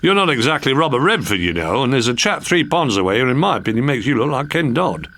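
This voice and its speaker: A man's voice: mid-range voice, big pitch swings, quick pace, a bit bright, dry recording. A man voicing a sardonic, blunt male frog with a dry, matey manner in a storybook. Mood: scornful.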